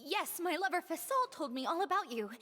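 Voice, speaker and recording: A woman's voice: extremely high pitch, very animated, brisk, crowd noise. A woman voicing a frightened, pleading young prisoner in a video game, formal and deferential. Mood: distressed, pleading. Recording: long reverb, faint music behind.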